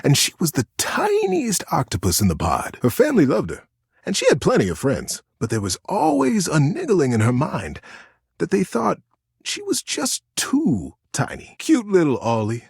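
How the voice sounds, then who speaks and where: A man voicing a lovable old teddy bear in a video game, a gentle, encouraging buddy with a folksy, playful, earnest way of giving advice and a husky voice. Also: a little high, wildly swooping pitch, normal speed, no room sound, clean studio sound, full character voice. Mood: cozy storytelling. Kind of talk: video game character monologue, storytelling advice.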